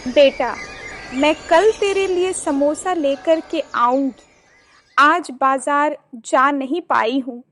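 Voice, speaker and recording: A woman voicing a poor village mother who gently tells her son there is no money. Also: high-pitched, slow pace, slight background noise. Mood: gentle. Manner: soft, patient, reassuring.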